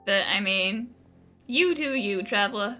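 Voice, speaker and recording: A woman's voice: mid-range voice, animated, unhurried, sharp tone, faint music behind. A woman giving casual, wry, informal commentary as she plays a video game. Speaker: gaming video host.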